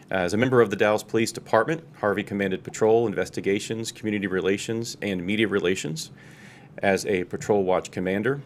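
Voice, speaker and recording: Low-voiced man hosting a podcast. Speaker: podcast host. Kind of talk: reading a scripted guest introduction, interviewing. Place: small podcast studio with large windows. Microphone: close studio mic with headset. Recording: faint noise and low rumble.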